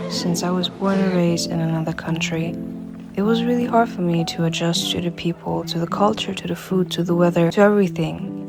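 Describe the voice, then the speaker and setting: A young woman from Germany narrates a voice-over in a low, very flat voice, calm and reflective. Loud music plays underneath throughout. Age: young adult.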